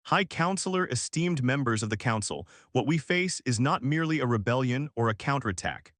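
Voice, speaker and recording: A man's voice: medium pitch, expressive, brisk, a bit bright, no room sound, studio quality, lightly acted. A man reading as a grim, blunt alien admiral in a science fiction story, with formal, clipped military speech. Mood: grave, formal.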